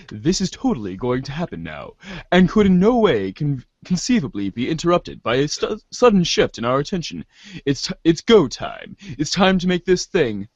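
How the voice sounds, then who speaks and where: A man reads webcomic narration as a mock-grave narrator. His voice sits a little high and moves expressively, taking its time. The sound is dry and somewhat dull. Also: dry recording. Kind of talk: dramatic amateur reading of webcomic narration.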